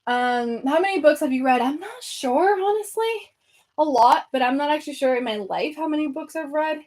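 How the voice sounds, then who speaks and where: A young woman with a Venezuelan accent talks to her viewers in a loose, rambling way, full of fillers and restarts. Her voice sits a bit high for a woman. She is at home, sorting books on her shelves as she talks. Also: lively intonation, normal speed, darkish tone. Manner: chatty, rambling, lots of fillers. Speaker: book vlogger. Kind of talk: casual chat with live viewers.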